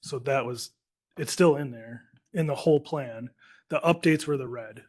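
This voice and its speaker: A man's voice: mid-range voice, moderate pace, darkish tone. A man explaining things plainly and informally as an official in a meeting. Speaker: county official at a board meeting.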